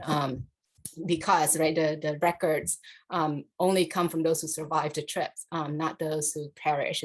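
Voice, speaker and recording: A Vietnam-born woman lecturer giving an academic panel talk over a video call, measured and full of "um" fillers. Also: deep voice, poor audio.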